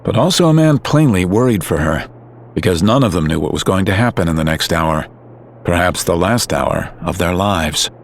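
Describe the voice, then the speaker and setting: A man narrating a story in a calm, measured, scripted way. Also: low voice, a little hiss or hum. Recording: music under narration.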